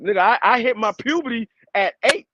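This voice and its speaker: A man's voice: very high-pitched, expressive, fairly quick, dull audio, background noise. A man, co-hosting a podcast and chatting casually, joking and insisting, laughing often. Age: 40s. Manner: joking, emphatic, laughs a lot. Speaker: podcast co-host. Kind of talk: casual chat.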